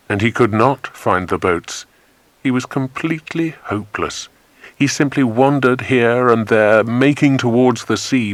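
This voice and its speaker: A man narrating a scripted children's adventure story in an expressive, dramatic way. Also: fairly low pitch, dry recording.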